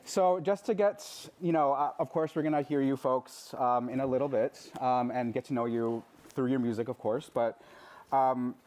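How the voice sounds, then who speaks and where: A man's voice: mid-range voice, moderate pace, darkish tone. A man hosting a live event on stage, introducing guests and asking questions with frequent ums and uhs. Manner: hesitant, many ums and uhs. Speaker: event host.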